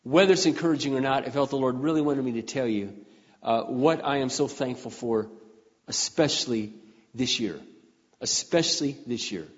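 An older man preaching a folksy, conversational sermon as pastor, often clearing his throat and restarting his sentences. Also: medium pitch, normal speed. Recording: dull, muffled sound with long hall reverb.

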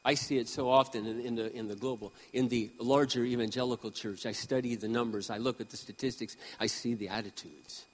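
A man around sixty preaching a sermon with impassioned emphasis, swinging between loud peaks and quiet asides. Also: mid-range voice, steady tone, fairly quick, few pauses, slightly rough, big-room sound. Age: 60s. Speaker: pastor.

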